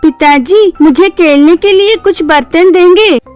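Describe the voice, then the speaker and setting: A woman's voice: extremely high pitch, unhurried, darkish tone, faint music behind. A woman voicing a bold, outspoken little village girl, a potter's daughter, in a comic story with childlike manner. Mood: pleading.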